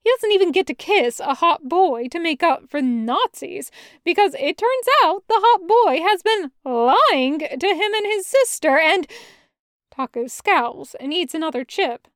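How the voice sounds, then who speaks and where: A woman narrates a story from a script in an expressive reading style. Her voice sits high, and the recording is dry and close with little room sound. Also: measured pace, dry recording. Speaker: podfic reader narrating fan fiction.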